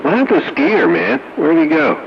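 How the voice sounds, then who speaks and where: A man's voice: a little high, lively intonation, fairly quick, gravelly. A man chatting casually over a two-way radio, trading banter as an amateur operator. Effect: two-way radio transmission. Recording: muffled, noisy two-way radio audio.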